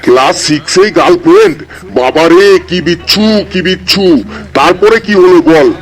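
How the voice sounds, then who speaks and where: A man's voice: high voice, moderate pace, lightly acted. A man voicing an easily flustered, exasperated schoolteacher in a comedy cartoon, speaking theatrically.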